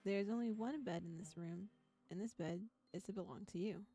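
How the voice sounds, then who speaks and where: Soft, mellow woman streaming a game. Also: medium pitch, fairly quick. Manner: giggly, chatty. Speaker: streamer playing a visual novel. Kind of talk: live game stream, reading aloud with casual commentary. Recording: background music behind voice. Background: music.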